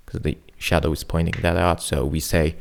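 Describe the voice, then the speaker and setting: A man's voice: low voice, flat delivery, moderate pace, vocal fry. A man on a casual podcast, explaining ideas loosely with fillers like "like" and "um". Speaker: podcast co-host.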